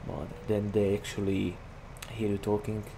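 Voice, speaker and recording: A young man with a Slovak accent and a slightly low voice rambles casually through his gameplay, leaning on "like" fillers. Clear engine and vehicle noise from the game runs under his desk-mic recording. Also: level tone, moderate pace. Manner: rambling, chatty, heavy use of "like" fillers. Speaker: gaming YouTuber.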